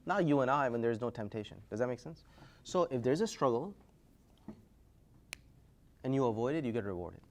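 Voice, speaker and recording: Male lecturer, energetic classroom teacher, call-and-response style, middle-pitched. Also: moderate pace, pauses often, a little hiss or hum. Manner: energetic, quizzing the class, rising loudly to prompt answers. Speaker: Islamic studies teacher.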